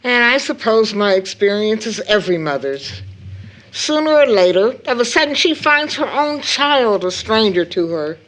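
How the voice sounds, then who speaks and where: A woman's voice: a little high, very animated, moderate pace, a bit bright, poor audio. An actress playing a loving, careworn mother in a stage-play audio drama, with a tender, theatrical delivery. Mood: sorrowful.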